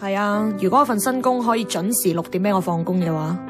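An actress playing a self-deprecating, wistful young career woman in a Hong Kong Cantonese radio drama, expressive and colloquial with English words mixed in. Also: medium pitch, fast talker, music in the background, natural voice. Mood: exasperated. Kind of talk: radio drama dialogue and monologue.

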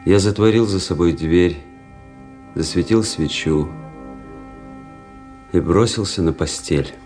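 An actor playing a weary, cynical young army officer who narrates a radio drama in a measured, introspective way. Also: male voice, deep voice, a little mellow. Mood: reflective, measured. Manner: introspective, world-weary, measured literary delivery. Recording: music under the voice.

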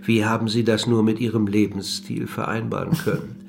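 An inquisitive male interviewer in a radio drama. His voice is fairly low, polite and persistent, with a gently ironic edge, over faint music. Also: level tone, fairly quick, creaky. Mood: mocking. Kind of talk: radio play dialogue.

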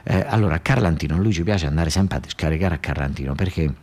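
A man's voice: low voice, fast talker, darkish tone. A man with a southern Italian accent delivering a colloquial, dialect-tinged narrative theatre monologue, repeating phrases for emphasis. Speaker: storyteller, monologue stage actor. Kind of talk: dramatic narrative theatre monologue about real events.

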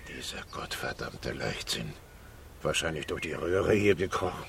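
Very gravelly, deep-voiced gruff steelworker. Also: male voice, expressive, unhurried, fairly bright tone, slight background noise, exaggerated voice. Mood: grimly dismissive. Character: gruff adult male steelworker, dismissive and grim. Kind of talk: radio play acting.

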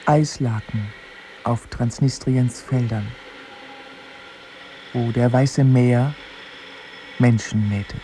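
A man's voice: mid-range voice, fairly even intonation, drawn-out words, long pauses, dark tone, a little hiss or hum. A man reciting a poem in short, sparse phrases. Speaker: poetry reader. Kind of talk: very slow, sparse poetry recitation.

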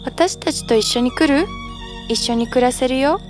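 A woman voicing a kind, gentle young girl with a sweet, earnest manner in a storybook cartoon. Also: sits high, normal speed, over music, close to an everyday voice. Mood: reassuring.

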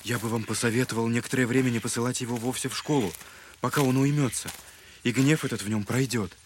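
An actor in an audio drama playing a gentle, earnest, compassionate young man. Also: medium pitch, fairly even intonation, quick pace, slight background noise, close to an everyday voice. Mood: concerned, earnest. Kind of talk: Russian literary audio drama acting.

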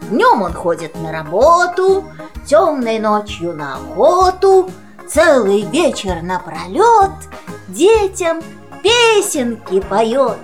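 A woman's voice: a little high, sing-song, slow pace, soft background music. A woman storyteller telling a children's tale in verse in a singsong manner. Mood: storytelling.

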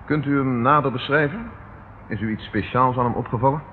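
An actor in a radio drama playing a calm, methodical police inspector with a businesslike, questioning manner. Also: male voice, mid-range voice, brisk, lightly acted. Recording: tinny, noisy old radio recording.

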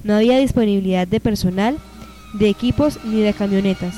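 A woman's voice: medium pitch, fairly quick. A woman reading scripted narration for a radio drama. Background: soft music.

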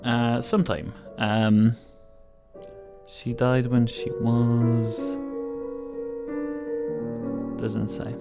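A man's voice: medium pitch, little pitch movement, extremely slow, long pauses. A man whose first language is Portuguese, hosting a casual radio show and rambling hesitantly, often repeating words. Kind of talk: casual commentary over music. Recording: loud music playing under voice. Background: classical viola and piano music.

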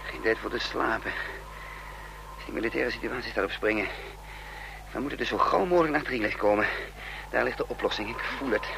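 Een acteur die in een hoorspel een vastberaden voortvluchtige dubbelganger speelt, bedachtzaam sprekend en dan weer bevelend. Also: male voice, medium pitch, a little fast, creaky, natural voice. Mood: grave, determined. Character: resolute male fugitive impostor leading his companions. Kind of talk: radio drama acting. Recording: noisy old radio play recording.

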